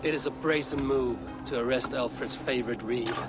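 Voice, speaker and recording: An actor playing a bold, proud Viking warrior in a video game, speaking in a blunt, boastful, measured way. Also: male voice, mid-range voice, steady tone, over music, lightly acted. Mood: wry, resolute. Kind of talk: dramatic video game acting.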